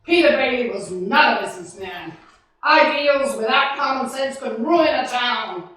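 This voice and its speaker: Woman acting miserly old businessman, radio drama, dismissive, forceful, high pitch, strong room echo. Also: measured pace. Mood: scornful. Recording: very roomy, distant stage recording.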